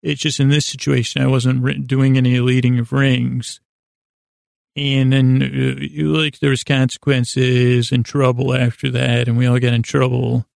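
Very creaky, meandering American man. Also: medium pitch, no room sound, studio quality. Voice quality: gravelly, creaky. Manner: halting, meandering, self-interrupting, deadpan whimsy. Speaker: podcast host. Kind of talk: rambling, improvised monologue storytelling.

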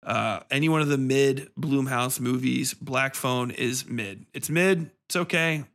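Male reviewer-host, medium pitch. Unscripted solo monologue, colloquial and blunt, swears freely. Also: unhurried, a bit bright. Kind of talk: casual off-the-cuff review monologue.

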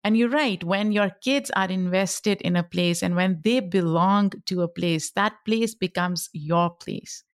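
A woman's voice: mid-range voice, a little slow, hardly any pauses, dry recording, clean studio sound. A middle-aged woman with a Pakistani accent and Pashto as her first language, talking reflectively about her own life as a podcast guest. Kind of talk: reflective personal interview talk.

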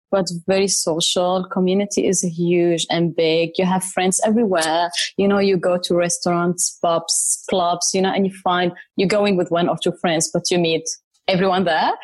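Woman from Syria, thirties, lowish voice, eager guest on a dry close mic. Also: darkish tone, no room sound. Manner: enthusiastic, repeats words for emphasis. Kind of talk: interview conversation.

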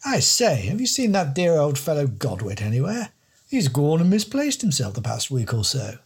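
A man's voice: a little high, huge pitch swings, moderate pace, slightly rough, pitched above the performer's own voice, heavily acted. A man putting on a pompous, plummy English don. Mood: gossipy. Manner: pompous, fussy.

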